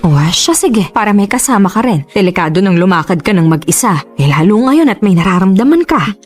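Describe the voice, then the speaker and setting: Casting: actress for understanding middle-aged Filipina mother, animated intonation, medium pitch, radio drama. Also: a little fast, faint music behind, lightly acted.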